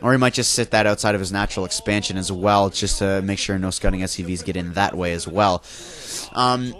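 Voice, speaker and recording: A man's voice: low-pitched, fairly quick, fairly bright tone. A young man with a flu, sounding nasal and congested, giving loose play-by-play commentary on a video game match with frequent fillers and self-corrections. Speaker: esports caster.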